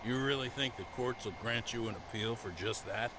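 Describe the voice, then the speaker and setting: An actor playing a seasoned, skeptical senior lawyer in a crime drama game, measured and questioning. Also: male voice, medium pitch, noisy background, natural voice. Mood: skeptical, probing. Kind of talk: dramatic English game voice acting.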